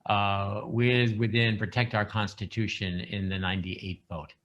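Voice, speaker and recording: A man moderating a video call panel, reading audience questions in a measured way. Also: on the low side, little pitch movement, a bit bright, dull audio. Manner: measured, brief murmured acknowledgements.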